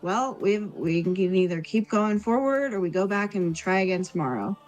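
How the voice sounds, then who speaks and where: A woman's voice: mid-range voice, lively intonation, normal speed, faint music behind, lightly acted. A woman voicing an earnest, bossy but kindly female adventurer in a tabletop game, talking in an emphatic ramble. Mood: resigned.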